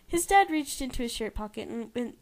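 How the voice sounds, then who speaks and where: High-voiced young woman reading aloud. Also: animated, fairly quick, creaky. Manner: giggly, stumbles over words and self-corrects. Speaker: amateur reader and narrator. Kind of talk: informal dramatic reading of a novel.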